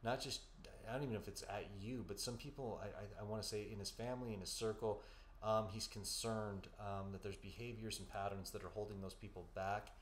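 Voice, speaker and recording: A man's voice: lowish voice, steady tone, brisk. A man co-hosting a live psychic podcast, giving readings and advice in a hesitant, reassuring way full of "um"s. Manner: hesitant, filler-heavy, reassuring. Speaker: psychic medium podcast co-host. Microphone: studio mic. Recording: echoey room with faint background noise.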